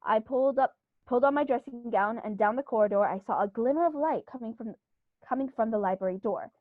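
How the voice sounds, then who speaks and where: Woman playing genteel gentleman squire, high voice, emphatic, theatrical, old radio drama, dry and slightly dull recording. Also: quick pace, no echo, natural voice. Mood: confiding. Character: cordial, indignant upper-class English country squire, male. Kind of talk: 1930s-style radio play acting.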